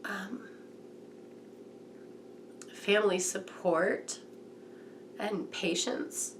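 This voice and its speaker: A mother speaking in an interview in an earnest, measured way. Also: medium pitch, steady tone, slight background noise. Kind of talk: reflective interview.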